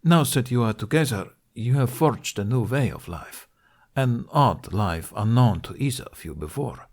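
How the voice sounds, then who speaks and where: A man's voice: mid-range voice, expressive, moderate pace, a little mellow. A man voicing a stern, earnest older Hungarian archery mentor in an audiobook, with solemn, formal phrasing.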